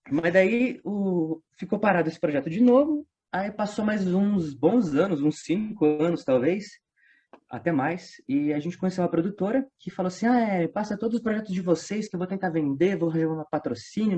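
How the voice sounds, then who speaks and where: A Brazilian man with a voice a little higher than average talks casually in an interview. He tells stories in animated, slangy speech, slipping into quoted remarks. The audio is somewhat dull and lo-fi. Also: moderate pace, husky. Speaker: guest, audio drama writer and voice-dubbing worker.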